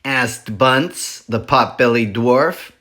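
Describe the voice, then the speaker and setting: A man reading a children's chapter book aloud for a school library, slow and expressive. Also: medium pitch. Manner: slow, expressive, sing-song storytelling.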